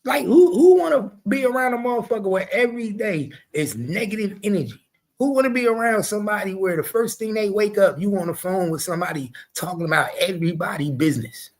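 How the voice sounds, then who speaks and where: A man's voice: high-pitched, a little fast. A man, hosting a casual chat and telling stories bluntly and with lots of profanity. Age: 40s. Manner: blunt, profane, animated storytelling. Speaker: show host.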